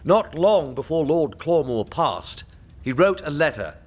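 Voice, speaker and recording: An actor playing a solemn executor in a gothic audio drama, making a ceremonious, formal address. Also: male voice, a little high, sing-song, measured pace. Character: solemn executor formally reading a dead lord's letter.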